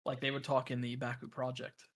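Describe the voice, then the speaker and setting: A man's voice: medium pitch, a little fast. A man who runs a game community, speaking candidly and with animation in a casual interview over a voice call. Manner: candid, animated, frank opinions.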